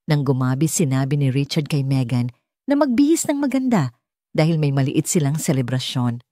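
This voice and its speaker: A woman's voice: rumbling low, sing-song, moderate pace, mellow, no room sound, studio quality. A woman with a Filipino accent reading a scripted romance story as an audiobook narrator.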